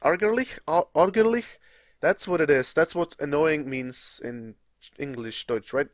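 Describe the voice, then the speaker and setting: A young man with a Czech accent rambles on in a casual, self-mocking way, swearing as he goes. His voice sits a bit high for a man, and the sound is very dry and close to the mic. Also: unhurried, dry recording. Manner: chatty, swears freely, laughs at himself. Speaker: gaming video creator. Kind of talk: casual rambling live commentary.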